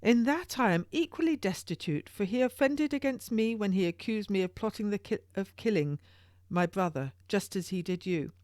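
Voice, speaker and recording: A woman's voice: mid-range voice, lively intonation, normal speed, darkish tone, no room sound, clean studio sound, voice pushed up, lightly acted. A woman voicing a theatrical, pompous king of England who speaks in grand, exclamatory, archaic courtly phrases in an audiobook. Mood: grandly effusive.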